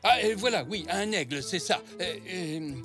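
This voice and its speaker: A man's voice: sits high, normal speed, music in the background, natural voice. A man playing an elderly zebra grandfather in a French children's cartoon, a proud old soldier who gets flustered easily. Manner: expressive, hesitant when flustered.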